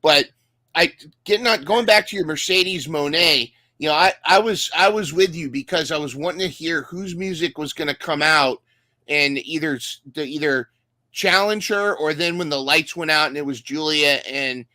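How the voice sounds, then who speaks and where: A man's voice: a little high, moderate pace, bright, forceful tone, dry recording. A man co-hosting a casual recap podcast, talking enthusiastically and often restarting his sentences. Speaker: podcast co-host.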